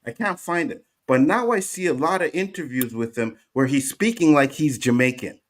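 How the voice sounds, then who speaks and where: A man's voice: mid-range voice, expressive, normal speed. A man hosting a casual panel chat, hesitant and searching as he talks, with many fillers.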